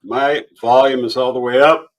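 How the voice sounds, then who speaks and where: A man's voice: mid-range voice, steady tone, normal speed. Laid-back man in his sixties. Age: 60s. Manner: laid-back, short replies, casual swearing. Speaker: livestream host. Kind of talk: casual chat.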